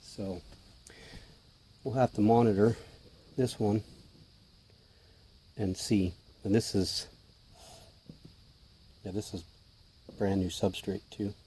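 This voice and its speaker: A hoarse man with a mellow, slightly low voice talks casually while feeding his pets. His manner is chatty and offhand, and he sometimes drops to a near-whisper. A faint hiss sits under the recording. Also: fairly even intonation, takes it slowly, pauses often, rough recording. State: hoarse, clearing his throat. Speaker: tarantula keeper filming feedings. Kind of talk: casual hobby vlog narration.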